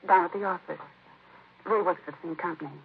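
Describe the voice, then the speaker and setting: An American actress playing a distraught, disapproving, defensive grieving sister in a 1950s radio crime drama, speaking in a hesitant, confiding way. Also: female voice, on the low side, a little fast, gravelly. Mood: agitated, recounting. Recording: muffled, noisy vintage radio recording.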